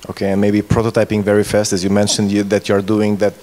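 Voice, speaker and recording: Male moderator, thirties, slightly low pitch, casual interviewing style, echoey conference hall. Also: monotone, quick pace, a little mellow. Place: indoor conference stage. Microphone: handheld microphone. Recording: reverberant hall sound.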